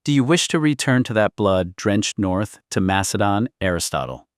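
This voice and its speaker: A man's voice: fairly low pitch, expressive, moderate pace, no echo, studio quality, natural voice. A man voicing a solemn, world-weary older guardian in an audiobook, speaking formally and decisively. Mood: probing.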